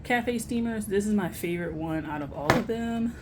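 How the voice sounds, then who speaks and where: A woman's voice: mid-range voice, moderate pace, heavy background noise. A young American woman chatting casually and candidly to camera as a vlogger, with informal, slangy phrasing. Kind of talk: casual grocery haul chat to camera.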